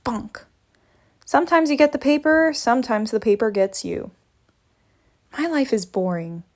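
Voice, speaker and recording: Woman voicing a sarcastic lazy male cat, dry grumbling wisecracks, storybook read-aloud, slightly high voice. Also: lively intonation, measured pace, darkish tone.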